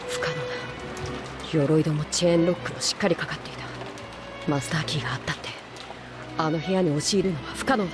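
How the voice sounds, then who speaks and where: A man's voice: a little high, very fast, long pauses, over music, close to an everyday voice. An actor playing an agitated, insistent, grimly disbelieving man in a Japanese visual novel. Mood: agitated disbelief.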